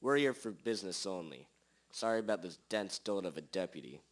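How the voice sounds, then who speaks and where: Self-important small-town detective, deep-ish male actor, dramatic pauses, hobby radio drama, rough sound. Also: animated. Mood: businesslike. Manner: dramatic pauses, theatrical declarations. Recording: rough amateur recording.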